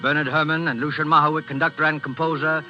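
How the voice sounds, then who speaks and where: A man giving formal, measured scripted narration as a 1940s radio announcer. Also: a little high, level tone. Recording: muffled 1940s radio recording. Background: music.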